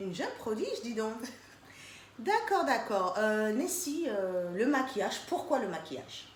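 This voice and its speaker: A woman's voice: on the high side, sing-song, unhurried. A woman hosting a casual interview show, chatting and prompting her guest with short acknowledgements. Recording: echoey room with faint background noise.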